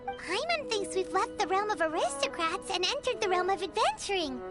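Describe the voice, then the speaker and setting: Squeaky, very high-pitched fairy sidekick. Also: female voice, big pitch swings, normal speed, loud music behind the voice. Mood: cheerfully confident. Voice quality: squeaky, childlike. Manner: refers to self in third person, exclaiming. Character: tiny chirpy childlike female fairy companion, excitable and whiny. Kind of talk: anime-style game voice acting.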